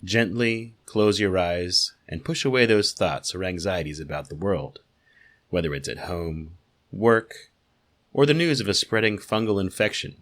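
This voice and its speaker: A man playing a serene yoga instructor, gently and soothingly guiding a relaxation session. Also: low voice, expressive, measured pace. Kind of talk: guided meditation.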